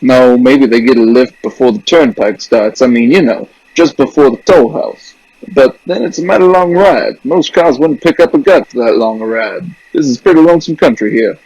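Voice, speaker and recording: An actor playing a plain-spoken, folksy gas station attendant in a suspense radio drama. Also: male voice, medium pitch, moderate pace, darkish tone, slightly rough, no room sound, low-quality recording. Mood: folksy, matter-of-fact. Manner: folksy, matter-of-fact.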